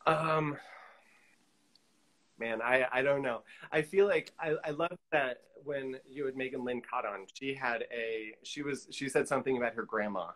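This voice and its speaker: A man's voice: medium pitch, normal speed, fairly bright tone. Middle-aged man chatting, thinking aloud. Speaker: guest, collage artist. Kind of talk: casual interview chat. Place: indoor room, on a video call. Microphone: video call.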